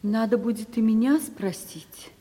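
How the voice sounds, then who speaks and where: Mellow, husky young ward. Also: female voice, fairly high pitch, a little slow. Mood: guarded, hurt. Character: poor young ward, quietly proud and resentful. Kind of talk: 1970s Russian radio play acting. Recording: reverberant 1970s radio play recording.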